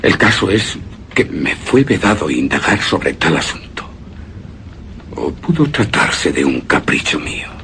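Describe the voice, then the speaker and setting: A very deep, gravelly, haunted widower. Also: male voice, very animated, pushed and bright, slight background noise, exaggerated voice. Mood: melancholy reminiscing. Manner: slow, halting, dramatic, with breathy whispered words. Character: brooding, grief-haunted widower recalling his lost beloved wife. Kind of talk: suspense and horror radio theatre acting, first-person narration.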